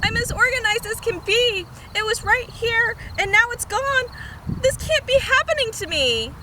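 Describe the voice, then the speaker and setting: A woman's voice: very high voice, animated, unhurried, pushed and bright, pitched above the performer's own voice, heavily acted. A woman voicing a bossy, organized young boy in a children's storybook, fussy and emphatic. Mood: panicked, screeching. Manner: instructing, emphatic, screeching when upset. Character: bossy, organized boy, fussy cousin who panics easily. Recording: noisy outdoor camera recording.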